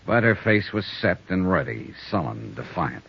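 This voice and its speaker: A terse, hard-boiled insurance investigator narrating his own case. His voice is low but moves lively through its pitch. It is heard through a dull old radio broadcast with faint music behind. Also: normal speed, creaky, slightly rough, heavily acted. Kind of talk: 1950s radio detective narration.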